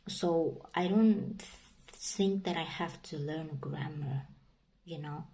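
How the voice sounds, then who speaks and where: A woman's voice: low voice, slow pace, warm tone, poor audio. A young woman with a Kazakh accent, native Kazakh speaker, chatting casually to camera in a rambling, repetitive way full of fillers. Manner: rambling and repetitive, many "you know" and "like" fillers. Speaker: vlogger. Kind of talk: casual vlog monologue.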